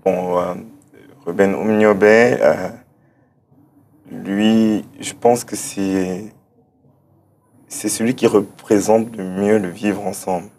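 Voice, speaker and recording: A man's voice: lowish voice, fairly even intonation, slow pace, long pauses, darkish tone, slight background noise. A man from Cameroon answering interview questions, hesitant and often repeating words as he thinks aloud. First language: German-speaking background. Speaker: interview guest, start-up founder.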